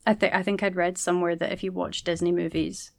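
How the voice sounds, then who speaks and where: A woman's voice: fairly low pitch, little pitch movement, fast, creaky, no room sound, studio quality. A woman hosting a casual interview, asking questions and backing the guest with "mm-hmm" and "yeah". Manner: friendly, lots of "mm-hmm" and "yeah". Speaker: podcast host.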